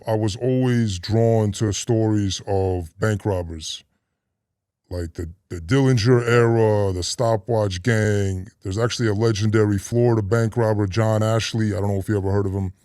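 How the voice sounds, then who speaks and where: Low, dark-voiced man telling stories. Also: dry recording, studio quality. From grew up in a Russian immigrant neighborhood in the US. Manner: relaxed and meandering, many likes and restarts. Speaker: interview guest, retired robber. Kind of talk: casual conversational interview.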